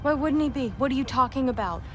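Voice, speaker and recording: A young actress playing a fiery, blunt teenage Norse goddess in a video game, challenging in manner, over noisy ambience. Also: female voice, sits high, lively intonation, fast talker. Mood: confused, wary. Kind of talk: dramatic video game acting.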